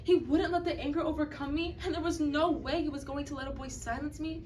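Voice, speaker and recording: A young woman performs a readers theater piece in a high voice. Her delivery is emphatic and declamatory. She is recorded from a distance with faint noise behind her. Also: fairly even intonation, fairly quick, a little mellow. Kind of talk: dramatic readers theater recitation. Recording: distant mic, background noise.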